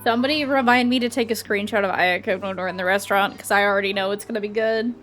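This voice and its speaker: A woman streaming a video game, reacting casually out loud. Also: medium pitch, fairly even intonation, fast. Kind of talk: casual live reaction commentary. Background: game music.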